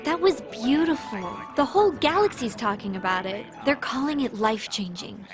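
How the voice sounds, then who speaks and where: A woman's voice: fairly high pitch, lively intonation, normal speed, natural voice. An actress playing a bubbly, upbeat young woman in a video game cutscene. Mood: excited. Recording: music behind the voice.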